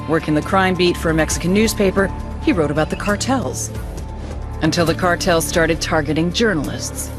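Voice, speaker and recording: A woman playing a blunt, cynical American intelligence officer giving a scripted briefing with dramatic pauses. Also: fairly low pitch. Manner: wry, profane, dramatic pauses.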